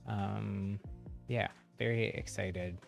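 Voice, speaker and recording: A man's voice: lowish voice, steady tone, slow, gravelly, faint music behind. A man hosting a livestream, chatting casually to viewers in a rambling, giggly way. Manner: rambling, frequent giggles mid-sentence. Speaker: live streamer and channel host.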